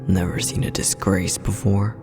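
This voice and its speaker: A man voicing a bitter, irritable younger demon brother in an audio roleplay, muttering coarsely in a breathy voice. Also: deep voice, flat delivery, moderate pace, whispered, in a large hall, music in the background, lightly acted. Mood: bitter, defiant.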